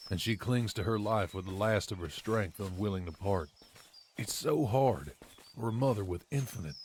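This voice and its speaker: A man plays a compassionate storyteller in a game drama. His voice is fairly low, solemn and measured, with faint noise behind the narration. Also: darkish tone, lightly acted. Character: solemn, compassionate storyteller watching over a dying mother.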